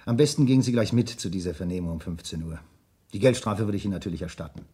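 A man's voice: low-pitched, lively intonation, fast talker, mellow, slightly rough, full character voice. An actor playing a self-assured, evasive businessman in a 1970s radio crime drama, speaking formally and insistently. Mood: persuasive.

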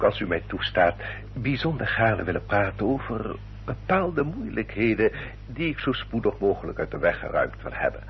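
A dull old radio recording with faint hum carries a smooth English baronet. His low voice moves in lively swings as he justifies himself with formal, polished courtesy, in the style of a classic radio play. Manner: formal, polished, elaborately polite. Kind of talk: old radio drama acting.

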